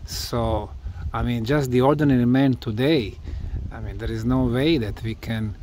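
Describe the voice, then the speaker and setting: A man who is a non-native English speaker, putting deliberate questions as an interviewer outdoors. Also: medium pitch, a little mellow, a little hiss or hum. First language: not English. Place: outdoors, behind the camera.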